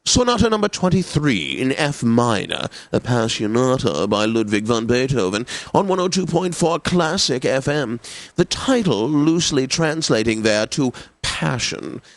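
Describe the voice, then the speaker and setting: A man's voice: medium pitch, very animated, measured pace, no echo. An actor playing a pompous, suave and lecherous male classical radio DJ in a comedic video game, announcing with theatrical relish. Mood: suave, formal. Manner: pompous, theatrical, savouring words.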